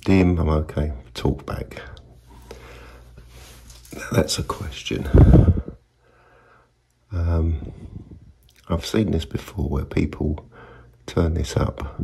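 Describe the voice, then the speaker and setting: A man with a husky voice giving a casual, unscripted demonstration as a hobbyist, hesitant and thinking aloud. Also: a bass voice, dark tone. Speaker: video maker, CB radio hobbyist.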